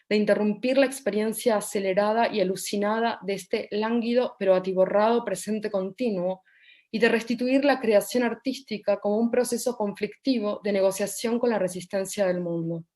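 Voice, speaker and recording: A woman reading a prepared academic presentation aloud in a measured, formal way. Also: mid-range voice, darkish tone.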